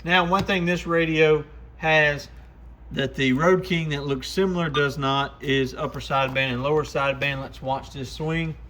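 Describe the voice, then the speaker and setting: A man's voice: fairly high pitch, steady tone, moderate pace, fairly bright tone, slight background noise. A man chatting in an informal, folksy way as he gives a casual product demonstration like a shop presenter.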